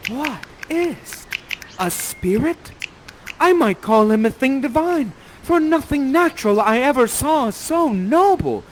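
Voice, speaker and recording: A man acts out an innocent young maiden of the stage, full of wonder. The verse is declaimed in a high, lively, swooping voice over faint noise. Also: a little slow, few pauses, heavily acted. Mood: awestruck.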